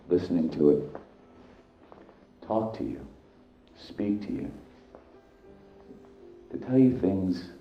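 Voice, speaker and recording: Very deep, slow-voiced man guiding hypnosis. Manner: deliberate, halting short phrases with hypnotic repetition. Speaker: hypnosis guide. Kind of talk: guided hypnosis, slow meditative narration. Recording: echoey, dull sound with music behind. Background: background music.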